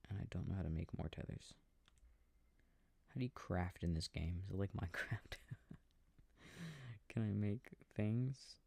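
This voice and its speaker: A man with a mellow, gravelly voice speaks very softly, close to the microphone in a dry space. He muses to himself at an unhurried, slow pace, often dropping near a whisper, like a sleep-aid ASMR performer playing a game. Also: low voice, dry recording. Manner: quiet, unhurried musing to himself. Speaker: audio roleplay performer. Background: game controller clicking.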